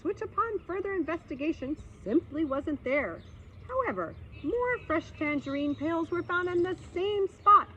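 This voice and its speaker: A woman's voice: high-pitched, bright, forceful tone, dull audio, slight background noise, full character voice. A woman voicing a solemn, officious wild boar in a children's storybook, speaking in a formal, methodical, pompous way.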